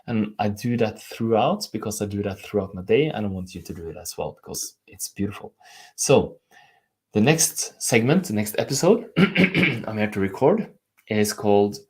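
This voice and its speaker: A man with a Norwegian accent, hosting a live stream as a coach, speaking earnestly and gently while reading out viewer comments. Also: lowish voice, lively intonation, normal speed, slightly rough. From Norway. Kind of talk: live-stream teaching and chat.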